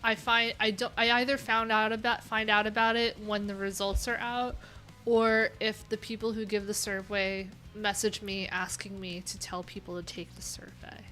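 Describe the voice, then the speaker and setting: A woman's voice: mid-range voice, flat delivery, normal speed, pushed and bright. A young adult woman hosting a coding livestream, talking casually and rambling with lots of fillers, with faint music in the background. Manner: chatty, rambling, many "like"s and "um"s.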